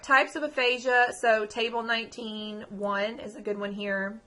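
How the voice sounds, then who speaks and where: A woman lecturer with a croaky voice, giving a conversational exam review with frequent ums. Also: medium pitch, sharp tone. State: croaky, recovering from lost voice. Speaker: psychology lecturer.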